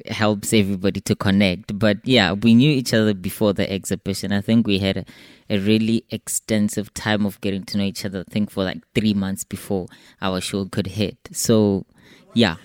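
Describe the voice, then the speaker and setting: Low-voiced South African man, recorded dry. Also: fairly even intonation, normal speed, no room sound. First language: Sepedi. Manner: discursive, frequent 'you know'. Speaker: artist, podcast guest. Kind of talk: explanatory interview answers. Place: gallery space.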